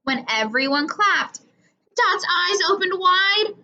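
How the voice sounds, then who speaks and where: Slow, animated, high-voiced woman reading aloud. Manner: animated, deliberate, acting out dialogue. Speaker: teacher reading a children's book aloud. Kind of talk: expressive children's read-aloud narration. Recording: dull lo-fi recording.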